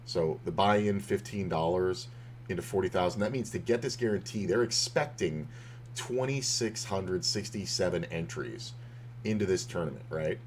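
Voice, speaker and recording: Male streamer, deep and a bit hoarse, rambling casual poker commentary. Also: moderate pace, a little hiss or hum. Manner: rambling, loose, with many fillers and restarts. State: slightly hoarse.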